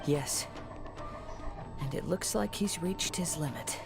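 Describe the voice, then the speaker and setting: A man voicing a calm, thoughtful young swordsman in an anime game, with a soft youthful voice and measured, solemn delivery. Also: fairly high pitch, creaky, exaggerated voice. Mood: grave. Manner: measured, solemn, gently earnest. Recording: game music behind.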